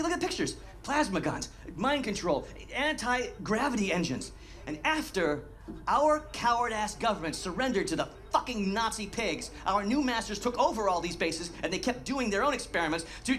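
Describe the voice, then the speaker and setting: Game casting: a paranoid American ex-lawyer, middle-aged, rambling, profane, wildly animated in pitch. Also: male voice. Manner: rambling, excitable, sweary, emphatic. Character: manic, foul-mouthed American conspiracy theorist and ex-lawyer. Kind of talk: video game cutscene acting.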